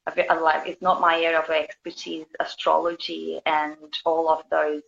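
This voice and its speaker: A woman from Brazil with a Brazilian accent, a guest talking casually about her healing work, gently searching for words. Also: deep voice, flat delivery, normal speed, creaky. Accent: Brazilian Portuguese. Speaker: guest, yoga and healing teacher. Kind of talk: casual conversation. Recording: dull lo-fi audio with faint background hiss.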